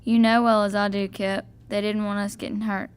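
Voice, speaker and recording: A woman's voice: mid-range voice, level tone, fairly quick, clean tone, close to an everyday voice. A teenage female playing a practical, protective big sister in an amateur radio play, matter-of-fact in delivery. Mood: reassuring.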